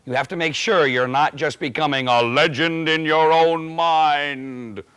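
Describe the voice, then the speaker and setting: A man's voice: on the high side, fairly even intonation, unhurried, fairly bright tone, clear, resonant tone. A man acting as a plain-spoken, emphatic presenter, giving scripted instruction in a corporate training film. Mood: instructive.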